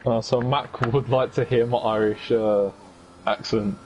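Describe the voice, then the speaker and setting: A man co-commentating a sim racing qualifying session, calling positions in a measured way and joking along with his partner. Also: medium pitch, fairly even intonation, a little mellow. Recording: dull lo-fi stream audio with faint hiss.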